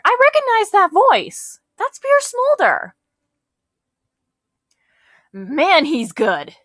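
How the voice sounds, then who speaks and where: A woman hosting a reaction video with excitable, casual commentary. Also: high voice, no room sound, studio quality.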